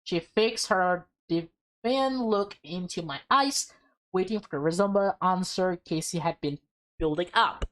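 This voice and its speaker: A woman's voice: lowish voice, a little slow, rough recording. A woman reading a visual novel aloud with playful, dramatic delivery and casual asides. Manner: expressive, playful, acts out dialogue. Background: music.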